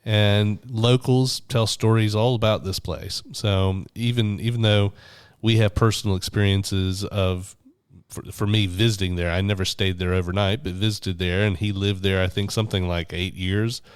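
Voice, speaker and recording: A man's voice: fairly low pitch, steady tone, moderate pace, smooth, no echo, clean studio sound. A man in his forties, getting over the flu, co-hosting a faith podcast and telling a story thoughtfully. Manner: storytelling, thoughtful, with frequent restarts. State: recovering from flu, voice deeper than usual. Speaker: podcast co-host, minister. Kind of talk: casual faith podcast chat.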